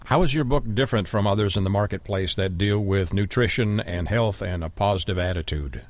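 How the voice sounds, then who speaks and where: Low-voiced man hosting an interview. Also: brisk, no room sound. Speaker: radio show host.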